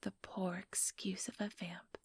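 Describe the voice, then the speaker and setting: A woman playing an ambitious vampire elder in a whispered ASMR roleplay, breathy, deliberate and patronizing, with cool menace. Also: medium pitch, flat delivery, no room sound, clean studio sound. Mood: disdainful.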